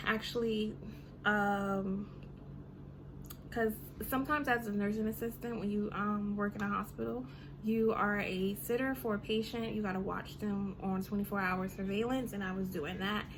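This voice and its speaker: A woman's voice: medium pitch, steady tone, moderate pace, noisy background. A woman in her thirties ranting casually to her vlog audience, chatty and full of "you know" and "um". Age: 30s. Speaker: vlogger. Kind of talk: casual rant to camera.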